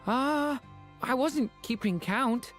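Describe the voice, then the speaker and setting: A woman voicing an earnest, gentle young boy in a video game, polite and hesitant. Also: high-pitched, animated, slow, soft background music. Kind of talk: video game character acting.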